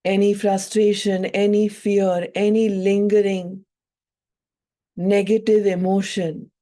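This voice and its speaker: A woman with a soft-edged, mellow voice of medium pitch guides a meditation as a yoga teacher. She speaks very slowly in short, soothing phrases, leaving generous pauses between instructions. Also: little pitch movement.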